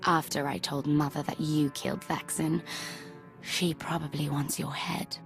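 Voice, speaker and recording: An actress playing a sly, scheming imperial sister in a dramatic video game, coolly goading. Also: female voice, deep voice, steady tone, measured pace, gravelly, soft background music.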